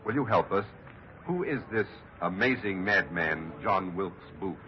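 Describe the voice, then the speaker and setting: An urgent, solemn reporter in an old radio drama. His voice is lowish, formal and dramatic, heard through a muffled broadcast with clear background noise. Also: expressive, unhurried. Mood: earnest, urgent. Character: grave, urgent male radio news reporter. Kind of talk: 1940s radio acting, live news reporting.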